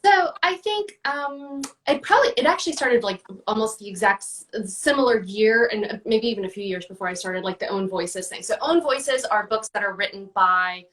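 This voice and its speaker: A woman's voice: medium pitch, lively intonation, normal speed, hardly any pauses, fairly bright tone, far from the mic. A woman answering interview questions in a casual, chatty way, with many fillers and restarts. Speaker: guest, book reviewer.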